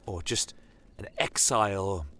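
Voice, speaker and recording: A man voicing a compassionate female healer in a fantasy audio drama, speaking in a gentle, pleading manner. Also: low-pitched, measured pace, heavily acted. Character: earnest, compassionate female healer advising a commander.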